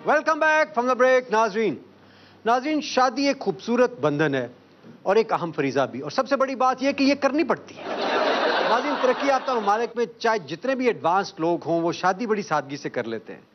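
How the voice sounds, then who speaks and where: A man hosting a satirical TV show, smooth and teasing as he sets up jokes. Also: very high-pitched, lively intonation, moderate pace. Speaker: show host. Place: TV studio. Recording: slightly dull TV broadcast sound, faint hiss.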